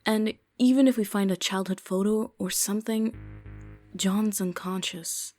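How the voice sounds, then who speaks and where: A woman voices a composed, no-nonsense woman doctor in a game fan dub. She speaks in a dry, exasperated tone at a mid pitch. Faint music sits behind her. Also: unhurried, a little mellow, close to an everyday voice. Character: dry, level-headed woman doctor, young adult.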